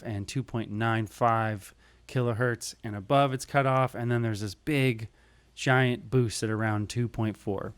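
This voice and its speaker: A man's voice: mid-range voice, clean tone. A man narrating a casual how-to tutorial, explaining things step by step in an informal way. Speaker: audio tutorial presenter.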